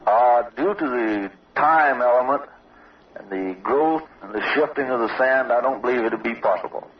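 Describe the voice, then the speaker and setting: A male sergeant gives matter-of-fact, formal military answers in an interview. His pitch is middling. The vintage field recording is muffled, with him a little off the microphone. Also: moderate pace. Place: outdoor observation post. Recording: dull, distant old radio field recording.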